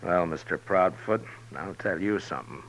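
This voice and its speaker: An actor playing a stern, weary American marshal in a 1950s radio western, terse and grave, on a noisy old recording. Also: male voice, deep voice, moderate pace, muffled recording. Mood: grim, weary.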